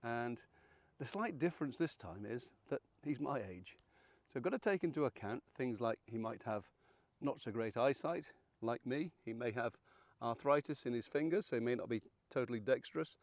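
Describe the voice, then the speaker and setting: A man around seventy, croaky and hoarse from illness, hosting a fishing channel and walking through a rig in a chatty, folksy, self-deprecating way. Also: medium pitch, expressive, moderate pace, a little mellow, dry recording. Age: 70s. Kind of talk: casual how-to demonstration.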